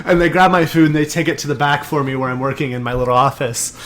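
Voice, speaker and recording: A man's voice: medium pitch, fast, fairly bright tone. A young man co-hosting a casual podcast, telling a long story with many restarts and asides. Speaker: podcast co-host.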